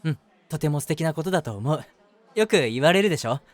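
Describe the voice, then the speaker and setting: A man's voice: on the high side, expressive, fast talker, some chatter behind, lightly acted. A man voicing an eager, easily flustered young man in love, chatty and self-deprecating, in a romantic audio drama. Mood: giddy, eager. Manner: chatty, self-deprecating, earnest, hesitant asides. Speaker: voice actor.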